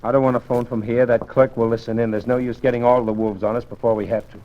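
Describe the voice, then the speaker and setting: A man's voice: medium pitch, flat delivery, quick pace, lightly acted. A man playing a hard-boiled radio detective. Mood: gruff. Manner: clipped, hard-boiled talk. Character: tough male detective Denny, loyal to his young partner. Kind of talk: 1940s radio acting. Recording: muffled old radio broadcast.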